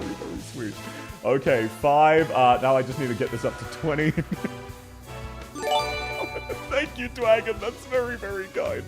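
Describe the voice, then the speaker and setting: An Australian man streaming live in casual chat, laughing often and thanking viewers one by one. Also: fairly high pitch. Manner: giggly, thanks viewers warmly.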